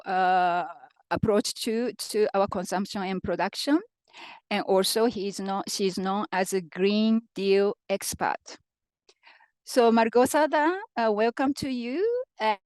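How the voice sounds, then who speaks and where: A woman with a Japanese accent moderating a conference panel, speaking in a halting way with frequent restarts. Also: mid-range voice, darkish tone, no echo.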